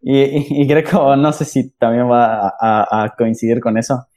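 A man hosts a relaxed conversation in a mellow, warm voice of middle pitch. He laughs along with his guests and muses aloud as he goes. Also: normal speed. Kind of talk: casual chat.

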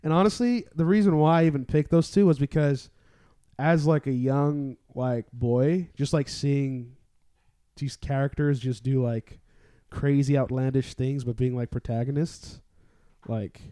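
Male, casual podcast panelist, medium pitch, animated slangy storyteller. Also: a little slow, clear, resonant tone, dry recording, clean studio sound. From born in the Philippines. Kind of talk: casual chat.

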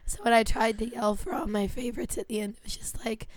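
Hoarse, raspy young woman. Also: fairly high pitch, level tone, fast talker, slight background noise. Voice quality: raspy, breathy. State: hoarse from illness. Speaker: podcast co-host. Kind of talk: casual chat.

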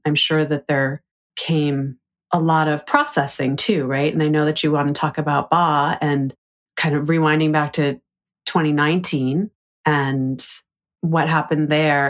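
A woman hosting a podcast, chatting with her cousin in an upbeat, encouraging way and laughing often. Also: deep voice. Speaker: podcast host. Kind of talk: casual interview chat.

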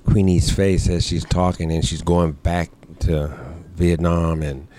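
A man's voice: sits low, fairly even intonation, unhurried, dark tone. A man co-hosting an interview, adding brief affirmations and reflective comments. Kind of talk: casual interview.

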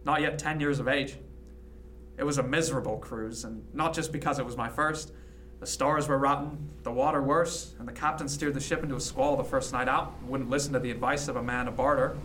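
Casting: man playing a modest eighteenth-century sea captain, earnest storyteller, mid-pitched male voice, light background noise. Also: steady tone, fairly bright tone, natural voice. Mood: somber, reminiscing. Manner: measured, halting, earnest. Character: reflective, modest sea captain in 1717, former cabin boy. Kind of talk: period radio drama acting, storytelling.

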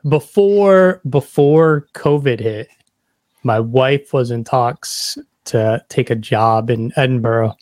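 A man's voice: medium pitch, slow pace, darkish tone, no echo. A man hosting a podcast, asking questions in an easygoing way and affirming often. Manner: easygoing, affirming with frequent "yeah"s. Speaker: podcast host. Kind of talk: casual interview chat.

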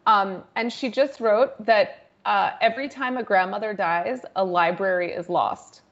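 A woman raised in the United States, talking in a lively way with laughs in a casual panel discussion. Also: medium pitch, a little slow, lo-fi sound.

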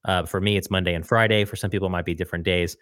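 A man's voice: deep voice, fairly even intonation, rapid-fire, a bit bright, dry recording, studio quality. A man hosting a podcast, asking his guests long conversational questions. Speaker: podcast host. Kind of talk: interviewing guests.

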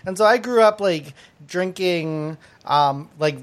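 A woman's voice: lowish voice, slow pace. A woman born in Thailand, hosting a podcast and chatting bluntly, laughing often and swearing. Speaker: podcast host. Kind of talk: casual podcast chat.

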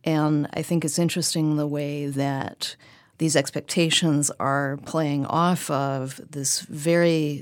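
A woman's voice: sits low, no echo, studio quality. A woman discussing literature in a reflective, articulate way, feeling out her phrases as she goes. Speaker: poetry magazine editor, podcast panelist.

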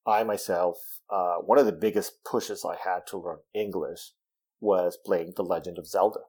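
A man's voice: low-pitched, fairly even intonation, moderate pace, a little mellow, slightly rough. A man from Venezuela whose first language is Spanish, a game developer explaining his project in a casual interview, thoughtful with frequent restarts. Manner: thoughtful, many restarts and fillers.

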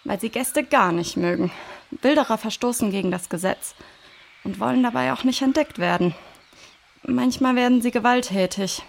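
A woman's voice: on the high side, moderate pace, creaky. A woman playing a protective, knowledgeable female forest guide in a children's radio play, explaining things in a reassuring way. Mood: explanatory.

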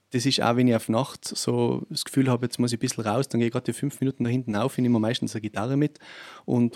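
A man's voice: mid-range voice, creaky, a little mellow, no room sound. A man with a Tyrolean Austrian accent chatting as a musician guest, telling anecdotes in a casual way. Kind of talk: casual anecdotal interview chat.

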